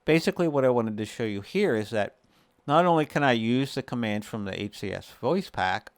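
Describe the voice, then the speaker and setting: A man's voice: mid-range voice, lively intonation, brisk, dry recording. A man hosting a gaming tutorial, explaining things step by step in a casual, conversational way. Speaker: gaming video host. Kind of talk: casual screen-share tutorial.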